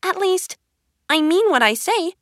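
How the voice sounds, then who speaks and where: A woman's voice: extremely high pitch, expressive, a little slow, dry recording, clean studio sound, pitched above the performer's own voice. A woman voicing a curious, polite storybook girl with a prim, earnest manner who is easily put out. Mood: indignant. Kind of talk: storybook character reading.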